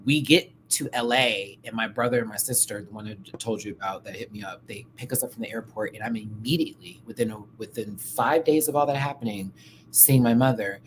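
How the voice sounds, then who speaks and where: A man's voice: lowish voice, a little fast, slight background noise, poor audio. An American man hosting a livestream, telling personal stories in a candid, chatty way with slang and frequent asides. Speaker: livestream host. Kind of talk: casual personal storytelling on a livestream.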